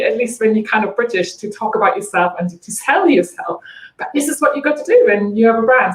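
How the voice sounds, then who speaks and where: A woman from East Germany in her forties speaks English with a German accent. She chats as a guest and laughs between her stories. Her voice carries an echo from the room she calls in from. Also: medium pitch. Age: 40s. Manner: chatty, laughs often. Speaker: guest, branding coach. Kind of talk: casual interview chat.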